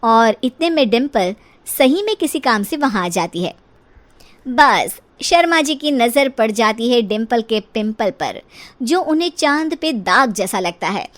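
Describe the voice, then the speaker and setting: A woman narrator reading a scripted comic story for an animated video. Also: on the high side, a little slow.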